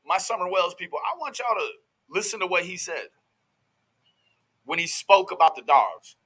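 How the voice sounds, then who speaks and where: A man's voice: sits high, big pitch swings, moderate pace, fairly bright tone, breathy edge, low-quality recording. A man giving casual, profane reaction commentary as host of a true crime show, in a brash, folksy, slangy way.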